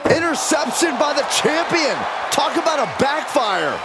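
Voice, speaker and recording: A man playing an excitable wrestling play-by-play commentator in a video game. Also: very high voice, measured pace, heavy background noise, heavily acted. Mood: excited, incredulous. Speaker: sports commentator. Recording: loud music behind.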